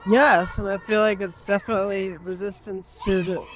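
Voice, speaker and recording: A woman at an outdoor rally, answering hesitantly and softly with many pauses. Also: medium pitch, fairly even intonation, poor audio. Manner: hesitant, soft-spoken, many ums. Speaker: rally attendee. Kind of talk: street interview.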